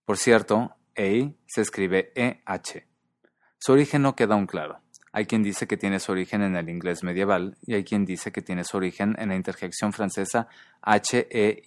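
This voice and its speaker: A man hosting a podcast who explains things in a measured, scripted narration. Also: on the low side, no echo, studio quality. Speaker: podcast host.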